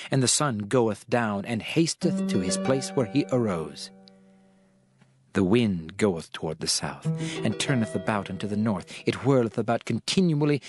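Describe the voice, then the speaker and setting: An actor playing a world-weary, reflective king called the Preacher in a dramatized scripture reading, solemn, measured and declamatory. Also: mid-range voice, natural voice.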